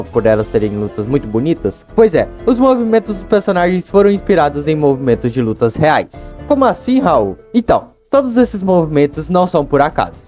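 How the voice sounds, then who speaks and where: A man's voice: fairly high pitch, warm tone. A young man with a Brazilian accent giving casual, slangy, chatty commentary as a video host.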